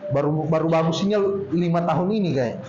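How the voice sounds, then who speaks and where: A man with a Poso accent tells stories to a group in a loose, easy way and stretches his words. His voice sits high. The sound is dull and lo-fi, with a roomy echo and faint ambience behind him. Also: a little mellow, a little hiss or hum. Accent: Poso regional Indonesian. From Poso, Central Sulawesi, Indonesia. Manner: chatty, drawn-out storytelling tone. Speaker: speaker at a talk. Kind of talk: casual talk to a group.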